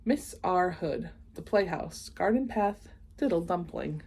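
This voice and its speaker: A woman reading a children's picture book aloud, expressive in the story and chatting casually and enthusiastically in asides. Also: on the low side, unhurried, creaky, slight background noise. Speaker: read-aloud host.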